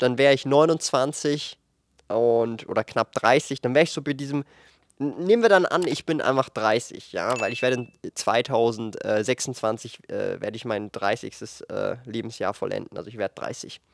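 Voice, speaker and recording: A man in his late twenties talks frankly into a handheld microphone in a studio room, explaining numbers in a lively, thinking-aloud way with fillers. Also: medium pitch, no echo. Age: 20s. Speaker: finance YouTuber. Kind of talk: casual explanatory commentary to camera. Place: indoor studio room with shelves of collectibles.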